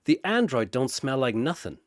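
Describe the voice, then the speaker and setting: A man voicing a gruff, sardonic clawed mutant brawler in an audio story, growling his words. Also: medium pitch, very animated, normal speed, no echo, clean studio sound, lightly acted. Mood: suspicious, mocking.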